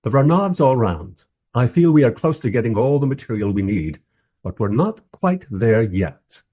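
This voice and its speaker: An actor playing a mild, polite time-travelling interviewer who narrates his story wryly in a radio play, with a husky voice. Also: mid-range voice, big pitch swings, moderate pace, dark tone. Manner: wry, polite. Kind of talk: first-person radio play narration and dialogue.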